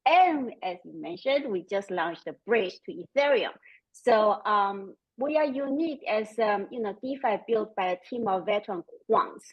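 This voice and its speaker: A woman in her forties with a German-Chinese accent, speaking as a panelist in a measured way with frequent fillers. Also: medium pitch, lively intonation, breathy edge, highs cut off. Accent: German and Chinese mixed. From Austria. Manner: measured, with frequent "um" and "you know". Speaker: panelist, company CEO. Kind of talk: panel discussion.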